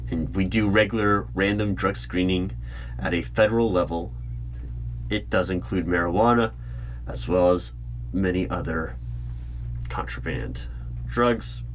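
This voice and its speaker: A man speaking in an ASMR roleplay as a secretive, official hiring manager, asking formal questions in a measured, deliberate way with pauses. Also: deep voice. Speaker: roleplay video creator playing an interviewer. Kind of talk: ASMR roleplay, spoken rather than whispered. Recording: steady hum and keyboard typing noise. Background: computer keyboard typing.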